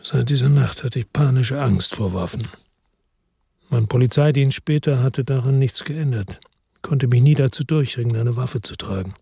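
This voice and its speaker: A middle-aged, world-weary ex-cop narrating a radio play in a laconic, reflective way. His voice is dark and a little husky, recorded dry and close. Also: medium pitch, moderate pace, no room sound. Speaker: first-person narrator and lead.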